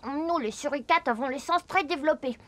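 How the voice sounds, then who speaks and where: A young cartoon meerkat with a high voice. The little animal is watchful and friendly, speaking carefully and instructively to a young audience. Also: female voice, fairly quick, a bit bright. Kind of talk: children's educational cartoon dialogue.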